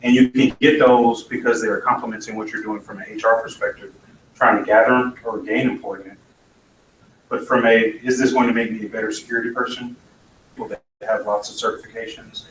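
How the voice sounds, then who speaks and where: A man's voice: fairly low pitch, level tone, a little fast, a bit bright. Blunt man speaking in an echoey hall. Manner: blunt and opinionated, with dry asides. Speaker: conference speaker. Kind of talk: candid conference talk with Q&A. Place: conference hall. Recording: echoey hall, mic from far off, faint noise.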